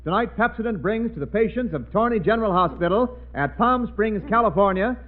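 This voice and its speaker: A man's voice: high-pitched, lively intonation, a little fast. An American man announcing a 1940s radio show and its sponsor in a showy, declamatory style. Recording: tinny 1940s radio broadcast.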